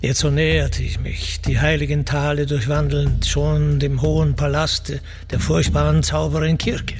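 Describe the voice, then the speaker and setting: A male actor playing a seafaring Greek hero who recounts his wanderings in solemn, measured epic verse for radio, in a deliberate declamatory way with pauses. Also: fairly high pitch, flat delivery, over music. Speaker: actor reciting as narrator.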